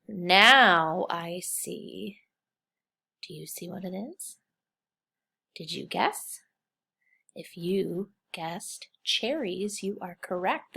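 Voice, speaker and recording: A woman with a mid-range voice reads a picture book to young children. She speaks as a kindergarten teacher, in simple, expressive phrases. She breaks up the story with questions and prompts for her listeners. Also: mid-range voice, creaky, husky. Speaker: kindergarten teacher reading aloud.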